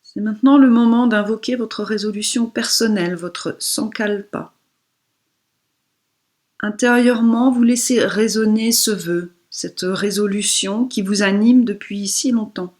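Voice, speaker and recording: Very slow, breathy woman guiding relaxation. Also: medium pitch, a little mellow. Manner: soothing, unhurried instructions with pauses. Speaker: yoga instructor. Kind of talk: guided relaxation meditation.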